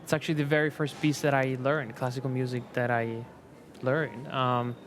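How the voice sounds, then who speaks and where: An Italian-born man of twenty-two speaks loosely and haltingly, filling pauses with ums. His voice has a middle pitch. He often breaks into short laughs. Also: flat delivery. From Italy. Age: 20s. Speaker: competition pianist guest. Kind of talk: panel talk.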